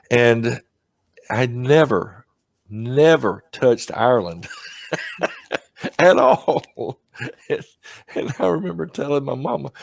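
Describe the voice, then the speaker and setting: A man's voice: medium pitch, vocal fry, no echo. Middle-aged male host, casual and chatty, laughs a lot, dry close mic. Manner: chatty, laughs often at his own stories. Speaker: podcast host. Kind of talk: casual chat.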